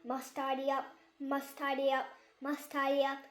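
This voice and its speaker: A woman's voice: high-pitched, monotone, normal speed, echoey room, natural voice. A young girl voicing an obedient, literal male toy robot in a read-aloud storybook. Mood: insistent. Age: child, about 6. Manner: repetitive, literal.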